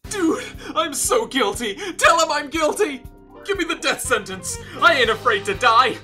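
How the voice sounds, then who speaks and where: A man's voice: very high voice, big pitch swings, moderate pace, pushed and bright, soft background music, heavily acted. A man acting a melodramatic, hapless young defendant in a game fandub, whiny and wailing over the top. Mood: wild despair.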